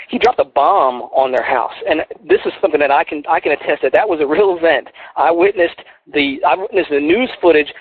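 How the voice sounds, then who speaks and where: Radio guest, male, faint Philly accent, higher voice, calling in over a dry, tinny phone line; earnest and rambling. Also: slightly rough, no room sound. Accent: faint Philadelphia. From Philadelphia. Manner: earnest, rambling, many restarts. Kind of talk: call-in radio talk.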